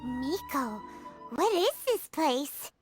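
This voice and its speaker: A woman voicing a tiny, childlike, timid and excitable fairy companion in a video game, with a squeaky, whiny, expressive delivery. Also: slow, soft background music, full character voice. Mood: uneasy. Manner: speaks of herself in third person, whiny and expressive. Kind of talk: video game character acting.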